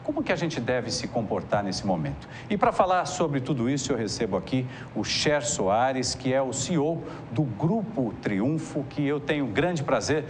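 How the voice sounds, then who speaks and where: A Brazilian man hosts a talk-show interview with very animated pitch. He is chatty and effusive as he puts questions to his guest. Clear noise sits in the recording. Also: moderate pace, hardly any pauses. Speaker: TV interviewer and host. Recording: clearly noisy TV interview sound.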